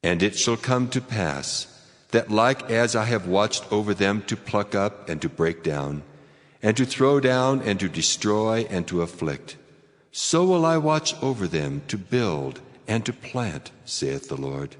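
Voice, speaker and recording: Very deep, reverberant voice of God. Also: male voice, lively intonation. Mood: solemn, resolute. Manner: measured, solemn, declamatory. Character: God, a solemn, fatherly, commanding male deity. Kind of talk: dramatized scripture reading. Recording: long cavernous reverb, music underneath. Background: music.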